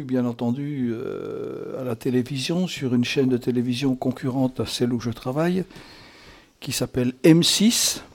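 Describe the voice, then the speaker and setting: A man's voice: mid-range voice, creaky, darkish tone. Playful, theatrical male radio host. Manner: playful, teasing, flowery compliments. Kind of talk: chatty, improvised radio interview.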